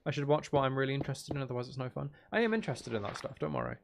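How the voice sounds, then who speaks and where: A man's voice: medium pitch, animated, racing, gravelly, a little hiss or hum. A man streaming a game, chatting casually in a rambling, hesitant way as he thinks aloud. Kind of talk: casual chatty gaming livestream commentary.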